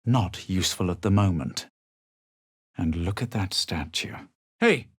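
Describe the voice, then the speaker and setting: An actor playing a haughty, self-assured fantasy adventurer in a video game, with a superior, dry and dramatic delivery. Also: male voice, sits low, lively intonation, a little slow, breathy edge, dry recording, heavily acted. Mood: dry, disdainful. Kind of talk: scripted video game voice lines.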